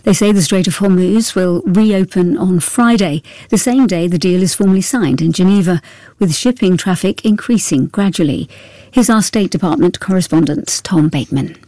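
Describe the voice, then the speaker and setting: A woman reading a radio news bulletin in a measured, formal way. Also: on the low side, dark tone, dry recording. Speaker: newsreader.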